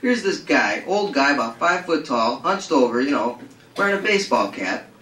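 A man's voice: medium pitch, normal speed. A man voicing a brash, foul-mouthed racetrack gambler who spins a streetwise, colloquial yarn in a live reading. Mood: excited, amused. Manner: streetwise, colloquial, excitable storytelling. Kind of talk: acted character monologue in a live reading. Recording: roomy, distant mic, faint noise.

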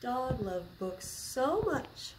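Older lady in her 60s doing library storytime, takes it slow, bit of room echo. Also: high-pitched, very animated. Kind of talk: picture book read-aloud for children. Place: small public library room, indoors. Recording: roomy echo, faint background noise.

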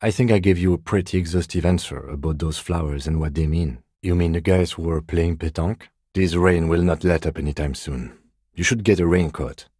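An actor playing a composed, courteous police lieutenant in a video game, with measured, dryly sardonic, deadpan delivery and a low, husky voice. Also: male voice, dry recording, clean studio sound. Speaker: game character, police detective. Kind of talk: video game dialogue voice lines.

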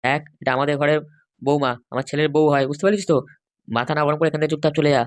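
A woman's voice: low voice, steady tone, racing, close to an everyday voice. A woman voicing a protective, stern middle-aged father in a comic cartoon, speaking in an admonishing way.

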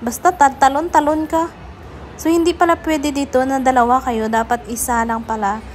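A woman's voice: sits high, fairly even intonation, moderate pace, a little hiss or hum. A Filipino woman narrating a casual vlog, chattily addressing her viewers. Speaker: vlogger.

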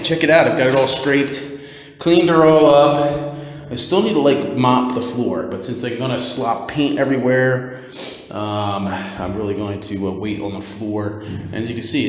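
A man casually narrating his own painting work as a handyman, talking off the cuff in an informal, rambling way. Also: mid-range voice. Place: small empty concrete basement room. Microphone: handheld phone or camera held by speaker. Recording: very echoey bare room, background noise.

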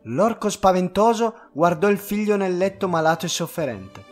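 A man narrating a children's story in an expressive, scripted storytelling style. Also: sits high, moderate pace, faint music behind.

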